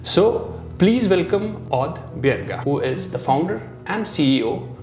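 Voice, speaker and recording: A man from India hosting a casual interview, friendly and halting, with many fillers. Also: fairly high pitch, sing-song, music in the background. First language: Hindi. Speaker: host. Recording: echoey room with faint ambience.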